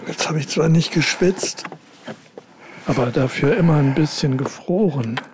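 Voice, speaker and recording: An actor playing a chatty lone hiker with a cold, musing to himself in a wry, rambling way outdoors in the mountains. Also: male voice, on the high side, unhurried, noisy background, lightly acted. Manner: wry, musing, self-talking. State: has a cold. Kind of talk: inner monologue and dialogue.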